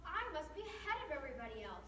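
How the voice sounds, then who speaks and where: Girl doing a high boastful little wooden lady, sing-song stage voice, echoey and noisy room. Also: very animated, racing. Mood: smug. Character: eager, boastful little wooden female Wemmick obsessed with boxes. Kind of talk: readers theater performance. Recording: echoey room, distant mic, noisy background.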